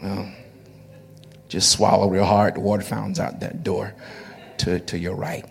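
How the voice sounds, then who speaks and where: A man's voice: sits low, flat delivery, measured pace, gravelly. A man in his forties, a pastor, preaching a sermon in a conversational way and often repeating words as he builds his phrases. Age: 40s.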